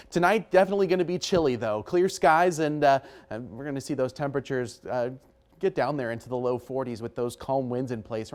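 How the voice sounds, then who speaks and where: A man's voice: mid-range voice, darkish tone. Conversational male TV weather forecaster. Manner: conversational, informal phrasing.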